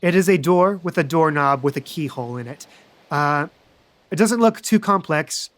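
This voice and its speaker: A man running a tabletop game as game master, narrating scenes in a dry, deadpan way. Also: a little high, normal speed, no room sound.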